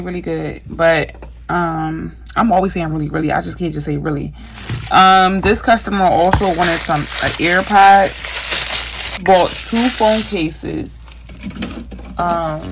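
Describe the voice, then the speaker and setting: Young woman, craft vlogger, low gravelly voice, chatty and filler-heavy, faint hiss behind. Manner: chatty, many fillers, addresses viewers as "you guys". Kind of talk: casual how-to vlog chat.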